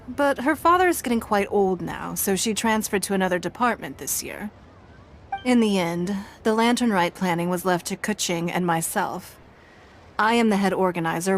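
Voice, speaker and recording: A woman's voice: medium pitch, a little hiss or hum, close to an everyday voice. A woman playing a composed, formal, authoritative civic leader in a video game. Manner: formal, poised, measured. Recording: music behind the voice.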